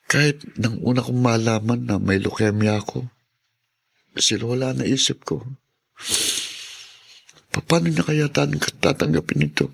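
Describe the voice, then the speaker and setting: An actor playing an ailing, devoted young grandson in a podcast drama, speaking with halting emotion. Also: male voice, medium pitch, lively intonation, creaky, slightly rough, full character voice. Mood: resigned, anguished. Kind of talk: podcast radio drama acting.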